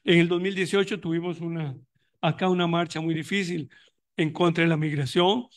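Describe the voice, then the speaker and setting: Hombre costarricense de voz aguda, reflexivo. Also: male voice, fairly high pitch, steady tone, moderate pace. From Costa Rica. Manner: thoughtful, many restarts and fillers, reflective. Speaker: panelist on migration in education; university educator running tutoring programs. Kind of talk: academic conference talk.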